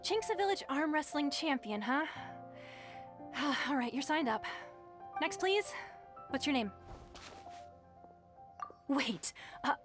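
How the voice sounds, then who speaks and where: A woman's voice: sits high, lively intonation, slow, long pauses. A woman voicing a polite, hesitant young female tournament registrar who is easily flustered, in a video game. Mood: businesslike. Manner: hesitant, courteous, formal. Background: background music.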